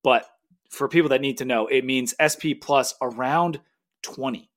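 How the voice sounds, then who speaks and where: Male podcast host, mid-range voice, keen and chatty sports talk in a relaxed conversational style. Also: medium pitch, normal speed.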